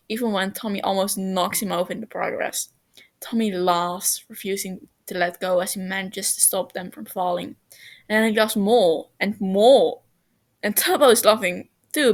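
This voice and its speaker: Woman, story reader, unhurried delivery, medium pitch, expressive, occasional stumbles. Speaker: fan fiction reader. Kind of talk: expressive amateur story narration.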